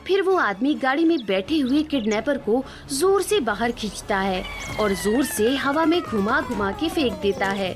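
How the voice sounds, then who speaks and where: A woman narrating a scripted children's cartoon story. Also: fairly high pitch.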